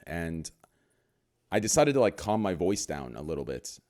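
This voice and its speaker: A man with a deep, low voice hosts a casual podcast. He talks in an excitable, heated way that can sound like an attack, and he swears freely. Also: normal speed. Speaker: podcast host.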